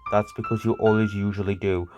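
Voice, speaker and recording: A man's voice: on the low side, level tone, fairly quick, muffled recording, over music, lightly acted. A man voicing a bickering, sweary cartoon buddy who reminisces in a rambling, repetitive, conversational way. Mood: exasperated. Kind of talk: casual improvised-sounding cartoon dialogue.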